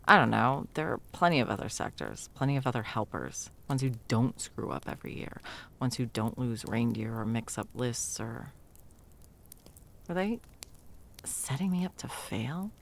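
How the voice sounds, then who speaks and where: A woman playing a sarcastic, self-doubting, hesitant Christmas elf in an intimate ASMR audio roleplay, with a creaky voice. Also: very deep voice, very animated, moderate pace, a bit bright, heavily acted. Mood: soft, rueful.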